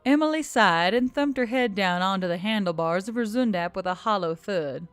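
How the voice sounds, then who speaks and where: A woman's voice: medium pitch, lively intonation, moderate pace, clean tone, no room sound, faint music behind. A woman narrating a scripted story as host of an audio fiction channel.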